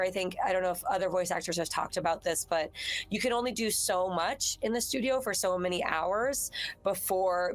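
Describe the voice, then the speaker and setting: A woman with a naturally raspy voice, a voice actress answering interview questions in a loose, rambling way. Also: lowish voice. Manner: rambling, restarts sentences, acts out her own thoughts. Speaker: voice actress guest. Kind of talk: casual interview chat.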